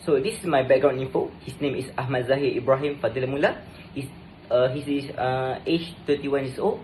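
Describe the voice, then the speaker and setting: A man's voice: mid-range voice, fairly even intonation, moderate pace, darkish tone, slight background noise, rough recording. A young man presenting a student assignment, reading formally with a few stumbles and fillers. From Malaysia. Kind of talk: scripted presentation.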